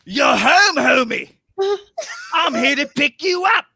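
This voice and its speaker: A man acting a brash, indignant male bounty hunter in a comic table read, with a raspy, strained voice. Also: very high voice, expressive, takes it slowly, pushed and bright, no room sound, clean studio sound, heavily acted. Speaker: actor in live script table read. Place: home room, video call. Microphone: microphone with pop filter, close.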